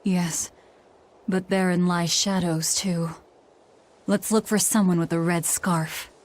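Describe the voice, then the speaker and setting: Lowish female voice, hard-bitten young assassin, gloomy, curt, scornful, game cutscene acting. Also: steady tone, slow. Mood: cold, stern.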